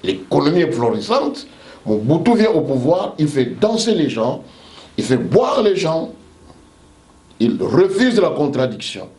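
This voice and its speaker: A man's voice: a little high, warm tone, roomy sound. A man speaking as a pastor in a heated debate, with a preaching cadence and emphatic rhetorical lists. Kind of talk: impassioned debate speech.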